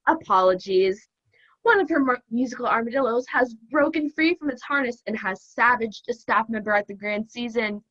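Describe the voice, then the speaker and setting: A woman's voice: a little high, normal speed, fairly bright tone, no echo. A young woman playing a formal, unflappable radio station announcer in a comedic radio play. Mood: apologetic, composed. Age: young adult. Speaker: student actor. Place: room at home. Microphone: laptop webcam. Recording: slightly dull, dry webcam sound.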